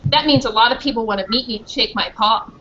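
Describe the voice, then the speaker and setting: A woman's voice: a little high, quick pace, bright, forceful tone. A woman playing a proud, earnest pit bull dog who narrates his own story in a school play. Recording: muffled, noisy school performance recording.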